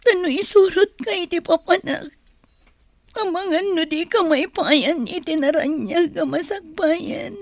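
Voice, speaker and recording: Radio soap role: grieving young Filipino mother, tearful and pleading, slow delivery, close dry sound. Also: very high-pitched, no echo. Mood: tearful, tender. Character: sorrowful young mother giving up her baby.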